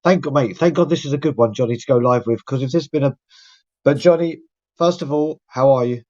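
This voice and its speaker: A man's voice: medium pitch, a little fast. A man, hosting a live fan podcast in a chatty, rambling way. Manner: chatty, rambling shout-outs. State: croaky voice. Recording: dull lo-fi audio.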